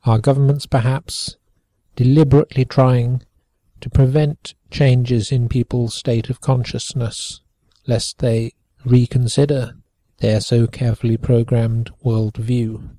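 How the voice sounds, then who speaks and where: A man's voice: medium pitch, level tone, a little slow, warm tone, dry recording. Man reading a pointed commentary, dry sound. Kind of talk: read commentary.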